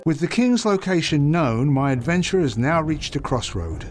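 An ambitious knight. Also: male voice, fairly high pitch, very animated, moderate pace, darkish tone, soft background music. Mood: reflective. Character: ambitious male knight narrating his choices. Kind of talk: game cutscene narration.

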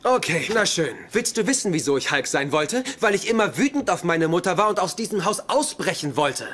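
A man's voice: high voice, big pitch swings, a little fast, a bit bright. An exasperated, defensive young man. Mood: wounded. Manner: defensive, pleading. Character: exasperated, embarrassed young man, wounded by his mother. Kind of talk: dubbed sitcom dialogue. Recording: noisy sitcom audio with background ambience.

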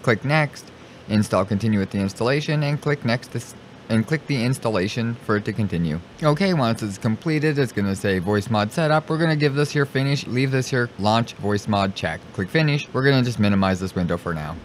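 A man talking through software steps in a casual, informal tutorial style, repeating himself as he narrates each click. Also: medium pitch, animated, a little fast. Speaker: streamer giving a software tutorial. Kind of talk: casual step-by-step screen tutorial. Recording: clearly noisy home recording.

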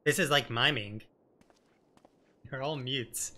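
A man streams a game with a mid-pitched, sharp, bright voice. His commentary is casual and joking, and it rambles along conversationally. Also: slow. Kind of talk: casual let's play commentary.

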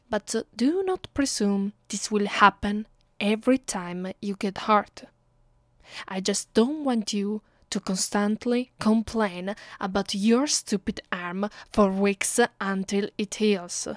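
A woman with an Italian accent playing a domineering, cruel vampire mistress in an ASMR roleplay, commanding and deliberate. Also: medium pitch, no room sound, clean studio sound, natural voice. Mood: cold, dismissive. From Italy. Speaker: voice actress. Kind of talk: ASMR roleplay, close and slow with whispered moments.

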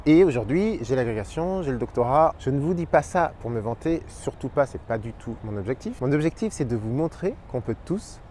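Energetic man teaching to camera outdoors. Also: mid-range voice, fairly quick, few pauses, slight background noise. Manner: energetic, expressive, gestures with his hands. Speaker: Spanish teacher and channel host. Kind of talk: talking to camera, explaining tips. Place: outdoors by a pond in a park. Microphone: lapel mic.

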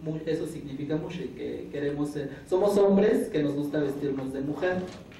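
A man from Juchitán gives his testimony at a panel table, earnest and patient, circling back to the same words as he explains. His voice sits a little high for a man. It is caught from across an echoey conference room with some noise behind. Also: moderate pace, warm tone. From Juchitán, Isthmus of Tehuantepec, Mexico. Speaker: panel speaker. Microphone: table microphone, recorded by far camera. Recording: echoey room from far off, noisy.

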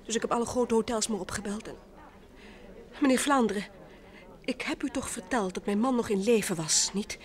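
A woman's voice: fairly high pitch, fairly quick, long pauses, lightly acted. An actress playing an anxious, polite married woman in an old Dutch radio mystery. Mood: anxious, insistent. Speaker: radio drama actor.

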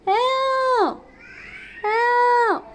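A woman's voice: very high-pitched, extremely slow, a bit bright, voice pushed up, heavily acted. A woman voicing a small, helpless, friendly alien from a picture book, calling out and pleading. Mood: desperate. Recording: noisy home phone recording.

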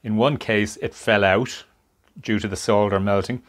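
A man giving unscripted hands-on narration at a workbench, explaining things step by step in a measured way. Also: fairly low pitch, fairly even intonation. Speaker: hobbyist presenter of a radio restoration. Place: indoor workshop at a workbench.

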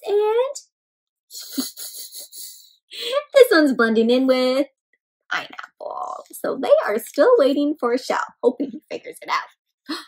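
Lively young woman reading to kids. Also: high-pitched. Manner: animated, acts out characters, asks children questions. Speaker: children's book reader. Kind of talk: interactive read-aloud for kids. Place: home room with decorated wall.

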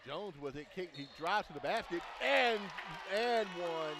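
A man with a high voice throws in excited exclamations as color commentator. Heavy crowd noise and loud background din bury him. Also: a little slow. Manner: exclamatory, laughing asides. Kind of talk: excited courtside reactions.

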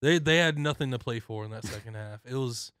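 A man's voice: mid-range voice, brisk, fairly bright tone, smooth, no room sound, studio quality. A young man in his twenties co-hosting a casual sports talk show, chiming in with opinions and stammered restarts. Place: small studio with foam panels. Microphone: studio mic on arm.

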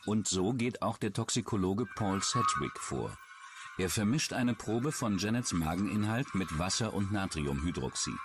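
A man narrating a true-crime documentary in a measured, suspenseful way from a script. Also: deep voice, animated, heavy background noise. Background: background music.

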